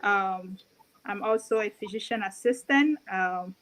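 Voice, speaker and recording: Slow, hesitant woman from Cameroon. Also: mid-range voice, fairly bright tone. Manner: hesitant, many fillers. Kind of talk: online talk show guest. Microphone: video call.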